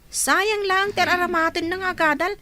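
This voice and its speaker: A woman's voice: extremely high pitch, steady tone, moderate pace, sharp tone, a little hiss or hum. A woman playing a lazy young student daughter in a radio comedy drama, whining and complaining. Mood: whiny, complaining. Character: lazy, whiny young student daughter who shuns farm work.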